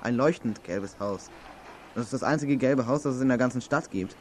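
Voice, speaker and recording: A man's voice: mid-range voice, moderate pace, darkish tone, a little hiss or hum, lightly acted. A man playing a casual, helpful young lad with a colloquial manner in a children's audio drama. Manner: colloquial, easygoing.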